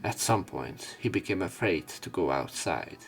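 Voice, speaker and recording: Very low-voiced man narrating a game. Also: sing-song, measured pace, creaky, breathy edge, soft background music. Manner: casual, sweary asides. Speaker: let's play narrator. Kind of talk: reading visual novel text aloud with casual asides.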